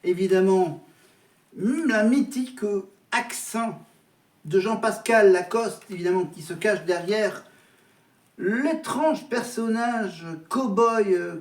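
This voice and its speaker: A man talks casually to his viewers in a high-pitched voice, stopping and restarting his phrases as he goes. He sits back from the mic in an echoey room. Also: slow, far from the mic. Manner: hesitant, repeats words and restarts often. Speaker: vlogger. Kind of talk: casual chat to camera.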